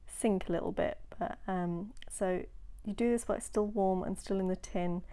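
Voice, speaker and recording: A woman's voice: medium pitch, moderate pace. Woman, nasal from a cold. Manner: chatty and relaxed, drops into soft whispered asides. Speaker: home baker. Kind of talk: casual baking voice-over.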